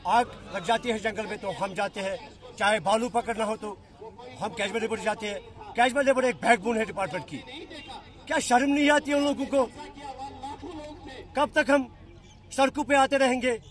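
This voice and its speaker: A man's voice: extremely high pitch, fairly even intonation, normal speed, pauses often. A man, a union leader making an emphatic, insistent statement to reporters. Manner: emphatic, insistent, rhetorical questions. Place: open sports ground among a crowd. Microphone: handheld news microphones held close.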